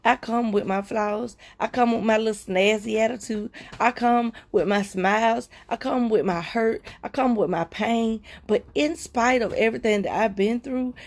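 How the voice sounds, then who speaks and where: A woman hosting a livestream, sharing a heartfelt personal testimony with viewers in a repetitive, preacher-like cadence, often slowing down and dropping to soft murmurs. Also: on the high side. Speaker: livestream host.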